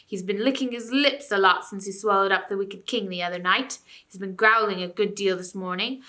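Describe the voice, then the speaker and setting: A woman voicing a scheming male ape who jeers and mocks the crowd of animals loudly. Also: close to an everyday voice. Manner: loud, mocking and shrill.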